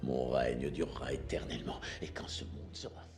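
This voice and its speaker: An actor playing a menacing ruler, delivering a solemn, threatening monologue in a dramatic production. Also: male voice, rumbling low, steady tone, brisk, gravelly, a little mellow, soft background music. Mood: cold menace. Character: menacing self-proclaimed ruler vowing to destroy heretics.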